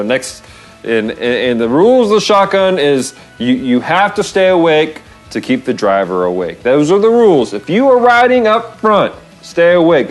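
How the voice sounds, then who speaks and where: An American man in his thirties talks as a vlog host in a casual storytelling style. His pitch is very lively and he leans on words for emphasis, over faint background music. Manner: animated, expressive, repeats phrases for emphasis. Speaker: show host. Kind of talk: casual storytelling with devotional encouragement. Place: small wood-panelled room, indoors.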